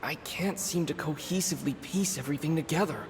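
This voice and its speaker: A man playing a pensive young traveler in a video game, musing deliberately in an echoing inner monologue. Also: on the high side, slight background noise. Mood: puzzled. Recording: long echoing reverb. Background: music.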